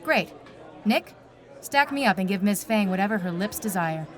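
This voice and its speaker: A woman playing a hard-drinking, sarcastic private eye in a noir audio drama, with a blunt, deadpan delivery. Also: medium pitch, normal speed, a faint crowd, lightly acted. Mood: blunt, guarded.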